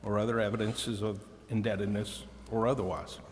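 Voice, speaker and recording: A man speaking as a council member in formal debate, with deliberate, careful phrasing. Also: on the low side, level tone, darkish tone. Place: indoor council chamber. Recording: reverberant meeting chamber with background noise.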